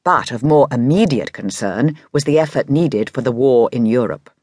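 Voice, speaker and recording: A woman's voice: a bass voice, lively intonation, moderate pace, darkish tone, slightly rough, no echo, clean studio sound. A woman with an English accent narrating a scripted history documentary in an explanatory way with lightly conversational asides.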